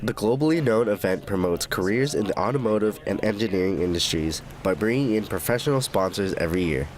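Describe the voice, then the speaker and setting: A young man narrating scripted student news reports as the reporter, in an even, measured delivery. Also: lowish voice. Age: young adult.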